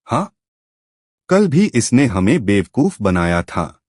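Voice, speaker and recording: Casting: stern cartoon villager, husky, animated delivery. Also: male voice, mid-range voice, slow, dry recording, studio quality. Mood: annoyed. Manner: scolding, stern. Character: angry adult male villager. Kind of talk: cartoon voice acting.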